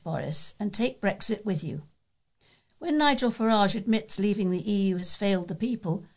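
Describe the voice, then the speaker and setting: A woman reads out letters for a local talking newspaper as a volunteer. Her pitch sits in the middle for a woman, and there is a clear gravelly fry in her voice. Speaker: volunteer newsreader. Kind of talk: reading readers' letters aloud for a talking newspaper.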